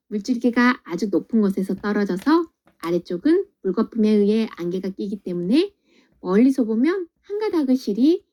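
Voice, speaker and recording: A woman teacher reading a children's picture book aloud in a gentle, sing-song way, often asking the listener questions. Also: a little high, dull audio.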